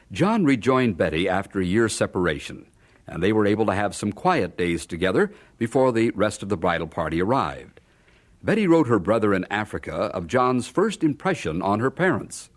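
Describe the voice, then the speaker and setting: A man narrating a scripted audio drama as a storyteller. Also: on the low side.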